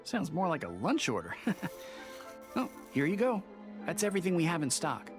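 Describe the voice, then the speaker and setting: An actor playing a polite, wry herbalist shopkeeper in an anime-style video game. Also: male voice, on the high side, wildly swooping pitch, moderate pace, music in the background. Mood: amused, puzzled. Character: chatty, mildly puzzled male herbalist shopkeeper.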